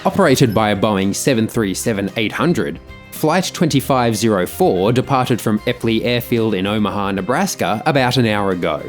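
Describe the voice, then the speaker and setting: A man's voice: on the low side, animated, normal speed, soft background music. A man reading scripted explanatory narration about an aviation incident.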